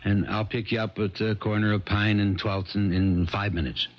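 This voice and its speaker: An American man playing a steady, considerate police sheriff in a 1970s radio mystery, speaking in a measured, careful, hesitant way. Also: fairly low pitch, faint music behind, lightly acted. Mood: careful, calming. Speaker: actor. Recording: noisy, dull old radio broadcast recording.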